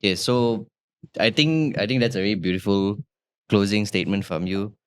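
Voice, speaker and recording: A man hosting an interview, gentle and affirming, phrasing his questions haltingly. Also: fairly low pitch, smooth, dry recording. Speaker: host.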